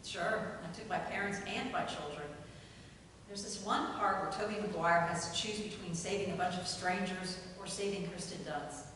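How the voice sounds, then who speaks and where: A woman's voice: on the low side, moderate pace, fairly bright tone, recorded from a distance, close to an everyday voice. Woman as a brusque grandmother, dry and folksy, stage play reading, long hall reverb, faint noise. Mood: reminiscing. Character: brusque, world-weary grandmother working beside a young colleague.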